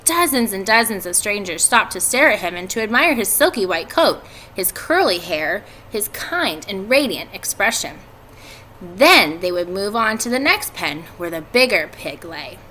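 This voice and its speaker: A woman in her thirties, a schoolteacher speaking to children in a deliberate teacherly way, recorded at home on a webcam. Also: medium pitch, big pitch swings, bright, forceful tone, a little hiss or hum, low-quality recording. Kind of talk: read-aloud and instructions for schoolchildren. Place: indoors at home by a fireplace. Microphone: computer webcam at desk distance.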